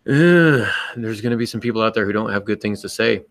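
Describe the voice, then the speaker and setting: A man's voice: fairly low pitch, expressive, fairly quick, no echo. A man co-hosting a podcast, speaking casually but emphatically and bluntly, acting out little exchanges as he gives advice. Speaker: podcast co-host and writer.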